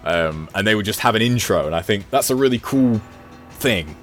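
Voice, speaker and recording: A man's voice: lowish voice, expressive, moderate pace, fairly bright tone, soft background music. A man talking casually as a podcast guest and gaming streamer, in a rambling, self-deprecating way. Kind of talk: casual conversational chat.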